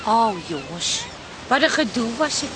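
A woman's voice: fairly high pitch, very animated, a little slow, background noise. An actress playing an upbeat, bossy woman herding her family along in a Dutch radio comedy drama. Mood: relieved. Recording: music and crowd behind the voice.

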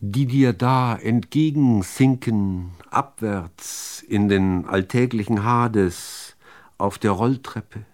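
A man reciting literary poetry in a measured, deliberate manner with dramatic pauses between phrases. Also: on the low side, darkish tone. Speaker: reader of poetry.

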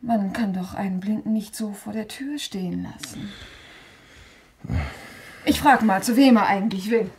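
An actress playing a considerate but bossy young wife in a radio play, breathy and polite yet insistent. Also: female voice, medium pitch, moderate pace, long pauses, a little hiss or hum, natural voice. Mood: hushed, insistent. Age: young adult. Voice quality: breathy, often hushed. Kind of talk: German radio play acting.

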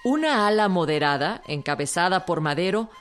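A woman's voice: lowish voice, lively intonation, normal speed. A woman with a Mexican accent delivering scripted documentary narration as a narrator. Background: music.

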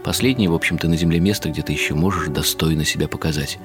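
Elderly husband narrator, very low pitch, wry, reflective, clipped phrasing, radio drama. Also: male voice, fast, music in the background. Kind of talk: dramatised first-person narration.

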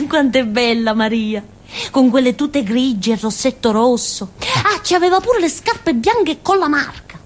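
An actress playing a naive, simple-minded village woman in a theatre monologue, with a southern Italian accent and a childlike, halting, colloquial way of telling things. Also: on the high side. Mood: wistful, adoring. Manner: childlike, halting, giggly, muttering little asides. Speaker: stage actress.

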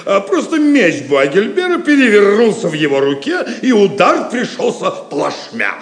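A man's voice: sits high, huge pitch swings, normal speed, exaggerated voice. An actor playing a jovial, boisterous, hospitable Saxon nobleman in an audio drama, with a theatrical manner, in an echoey space. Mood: amused, boastful. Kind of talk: dramatic audio play acting. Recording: echoey hall sound.

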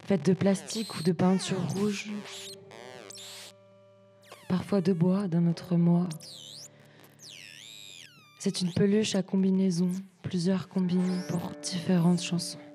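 Even, mellow young woman reading poetry. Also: fairly low pitch, faint music behind. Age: young adult. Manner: measured, short separated phrases. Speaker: student writer reading collective texts. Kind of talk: poetry reading, spoken word.

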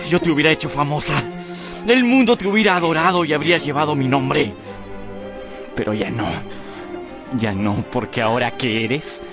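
An actor playing a cruel, anguished young gentleman in a radio drama, with a theatrical, scornful delivery. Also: on the high side, moderate pace, long pauses, music in the background, natural voice. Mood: furious, contemptuous.

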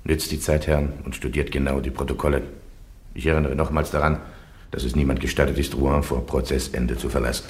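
An actor playing a presiding bishop judge who closes the session with formal, commanding orders in a radio play. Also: male voice, extremely low pitch, little pitch movement, a little fast, a little mellow, a little hiss or hum. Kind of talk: classic radio play acting.

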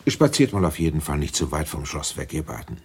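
Husky, animated fatherly general. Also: rumbling low, fairly quick, exaggerated voice. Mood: concerned, courteous. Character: older widowed general, courteous, excitable father. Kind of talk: scripted German radio drama acting.

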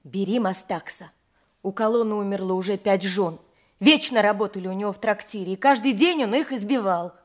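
A woman's voice: mid-range voice, brisk, lightly acted. An actress playing a chatty, worldly-wise married peasant woman in an audio drama, talking in a matter-of-fact, gossipy way. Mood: matter-of-fact, persuasive. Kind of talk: theatrical audio drama with first-person narration.

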